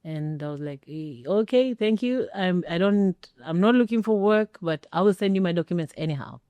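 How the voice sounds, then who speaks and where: A middle-aged woman telling a personal story as an interview guest, speaking emphatically with pauses for effect. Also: fairly low pitch, expressive, mellow, no echo, muffled recording. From Kenya. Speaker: interview guest, former air traffic controller.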